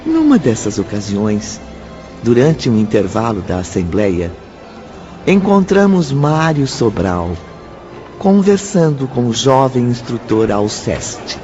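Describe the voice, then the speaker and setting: A man narrating a radio drama from a script in a formal, measured, dramatic way. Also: medium pitch, wildly swooping pitch, warm tone. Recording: dull lo-fi audio, music bed.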